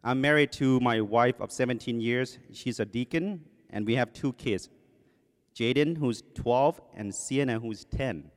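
A man in his forties speaks English with a Thai accent from a church podium, joking at his own expense and leaning on fillers. His voice sits at an ordinary middle pitch. The sound rings out with the long reverb of a church sanctuary. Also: measured pace. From Thailand. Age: 40s. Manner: self-deprecating, joking, with many fillers. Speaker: guest preacher. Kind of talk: sermon introduction. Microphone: podium microphone.

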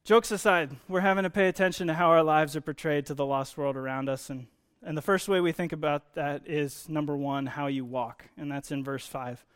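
A man's voice: fairly high pitch, steady tone, a little fast, few pauses, clear, resonant tone. A man giving a conversational sermon, explaining Bible teaching in an informal way with emphasis on key words.

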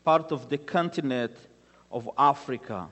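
A man with a Rwandan accent gives an earnest church testimony in deliberate, short, halting phrases. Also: medium pitch, fairly bright tone, dull audio. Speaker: guest speaker giving testimony. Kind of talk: church testimony with scripture readings. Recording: reverberant hall with long echo.

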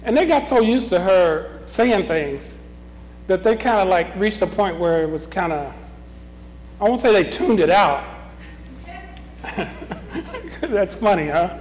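Middle-aged man, high bouncy voice, runs a Bible class with questions and chuckles, long room reverb. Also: animated, slow, long pauses, a little mellow, slight background noise. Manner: questioning, chuckling, restarts often. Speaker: Bible study leader. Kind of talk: leading a group Bible discussion.

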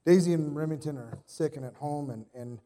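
Guy pastor with a cold, kinda deep and mellow today, a lot of ums and restarts in his sermon. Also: a little fast. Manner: casual, rambling delivery with many fillers and restarts. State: sick with a cold, slight cough. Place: church.

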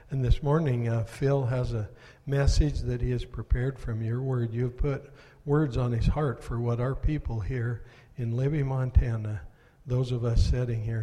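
Mellow-voiced man praying in an echoing church. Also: medium pitch. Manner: earnest, halting with fillers. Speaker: church member leading prayer. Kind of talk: spoken prayer.

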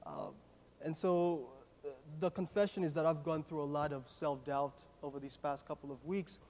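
A nervous man with a Haitian accent, a native Haitian Creole speaker, giving a personal testimony sermon in an earnest, halting way, repeating words as he goes. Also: fairly high pitch, darkish tone. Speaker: guest preacher at a church. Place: church sanctuary, speaking to a congregation. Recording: echoey hall with long reverb.

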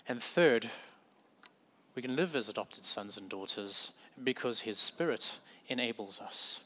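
A man's voice: mid-range voice, expressive, a little slow, sharp tone. A man with a South African accent preaching a sermon in a conversational way, correcting himself and adding light humorous asides.

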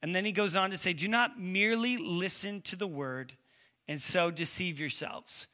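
A man's voice: high-pitched, normal speed, pushed and bright. A man with a lisp giving a casual, conversational sermon as a preacher, with informal, slangy storytelling. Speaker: preacher, youth ministry leader.

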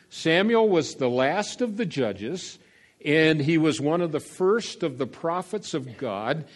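An older male pastor delivering a folksy sermon, full of fillers and asides, swinging between quiet remarks and loud calls to the congregation. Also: a little high. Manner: folksy, meandering preaching with frequent fillers.

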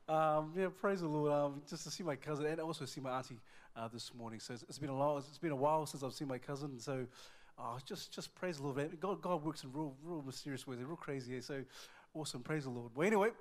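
A man with a New Zealand accent, a visiting evangelist speaking and praying at a church service, lively and repeating his words often. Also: a little high. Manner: lively, repeats words, many praise interjections. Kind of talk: church talk and prayer.

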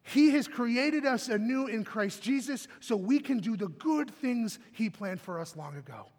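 A man preaching as a pastor to a church, with deliberate, emphatic delivery, in a reverberant hall. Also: very high-pitched, lively intonation, darkish tone. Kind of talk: sermon.

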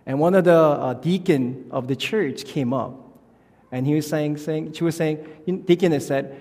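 A man in his thirties, from South Korea, preaching as a youth pastor in a halting, informal, friendly way. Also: fairly high pitch, dark tone. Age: 30s. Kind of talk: sermon. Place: church sanctuary. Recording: long church reverb.

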